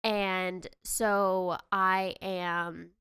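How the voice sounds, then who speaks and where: Young woman with a nasal cold, relaxed rambling game voice-over, smooth tone, dry booth-like sound. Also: medium pitch, extremely slow, no room sound. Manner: rambling, self-correcting, chatty. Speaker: YouTube host. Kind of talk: casual game commentary voice-over.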